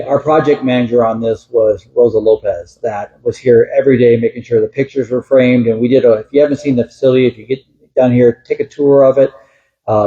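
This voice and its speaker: A man's voice: mid-range voice, dark tone, muffled recording. A man speaking as sheriff at a building dedication, folksy and thankful. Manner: folksy, self-deprecating, thankful. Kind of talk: public speech at a ceremony.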